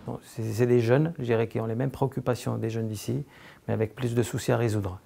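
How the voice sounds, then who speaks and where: A man's voice: mid-range voice, steady tone, fast, gravelly, mellow, slight background noise. A man speaking in a documentary interview about refugee trainees, hesitant and restarting his phrases. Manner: hesitant, restarts often, plain speech.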